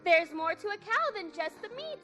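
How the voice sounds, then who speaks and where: A woman's voice: very high voice, very animated, moderate pace, pushed and bright, soft background music, heavily acted. A woman playing a perky, hard-pressed housewife and mother in an old-time radio commercial. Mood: perky, exclamatory.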